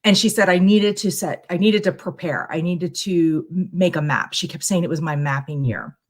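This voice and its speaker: A woman's voice: lowish voice, normal speed. A middle-aged woman chatting casually as a guest on a live stream, talking in a reflective, long-winded way. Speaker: guest tarot reader bringing a question.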